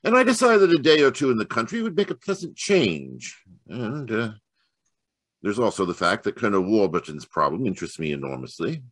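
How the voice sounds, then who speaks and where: Guy doing a shrewd courtly detective for an old radio mystery, really animated, close dry sound. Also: lowish voice, fairly quick, no echo. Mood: genial. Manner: courtly, wordy, hesitant phrasing.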